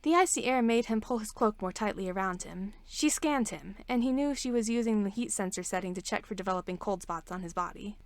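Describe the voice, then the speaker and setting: A woman's voice: medium pitch, a little fast, hardly any pauses, dry recording. A woman reading scripted fiction aloud as the narrator of an amateur audiobook. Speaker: podfic reader.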